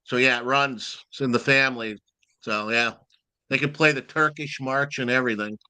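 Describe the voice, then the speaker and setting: A man with a naturally loud voice talks at length as an interview guest, rambling with a lively up-and-down delivery. His tone is bright and forceful. The sound is dry and close, with no room echo. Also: medium pitch, normal speed, smooth. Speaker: guest, event timekeeper. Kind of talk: casual interview chat.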